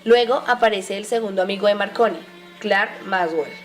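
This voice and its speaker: A woman serves as narrator of a radio drama. Her voice is of average pitch and she reads scripted links between scenes. Music plays softly behind her. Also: normal speed.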